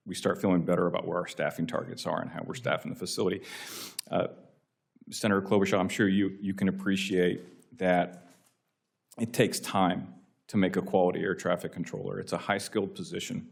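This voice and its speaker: A man's voice: sits low, creaky. An American man giving formal testimony as an agency official, with deferential, explanatory phrasing. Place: legislative hearing room.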